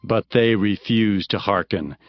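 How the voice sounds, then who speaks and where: A man narrating dramatized scripture in a declamatory style with measured phrasing. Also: lowish voice. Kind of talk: dramatized King James Bible reading. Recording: slightly muffled, prominent music underneath. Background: music.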